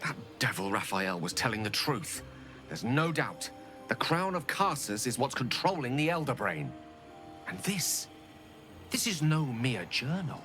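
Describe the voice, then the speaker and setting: An actor playing an eloquent, refined wizard in a video game, speaking articulately and theatrically. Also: male voice, mid-range voice, big pitch swings, unhurried, pushed and bright, faint music behind. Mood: intrigued.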